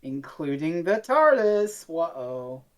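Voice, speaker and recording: A woman's voice: low-pitched, sing-song, slow pace. A woman co-hosting a casual review podcast with blunt, sweary, sarcastic banter. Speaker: podcast co-host.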